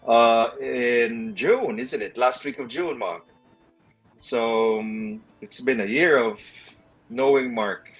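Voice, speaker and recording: Guest franchisee, man, slow and hesitant, filler-heavy, video-call audio. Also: medium pitch, slightly rough, faint music behind, rough recording. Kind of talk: casual video-call interview.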